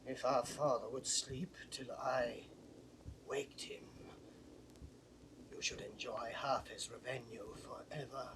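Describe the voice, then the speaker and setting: A man's voice: medium pitch, close to an everyday voice. An actor playing an aged, credulous noble father in classical Shakespearean style, agitated and theatrical, swinging between murmurs and outbursts. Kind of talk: classical Shakespearean stage acting. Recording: 1960s drama recording with background noise.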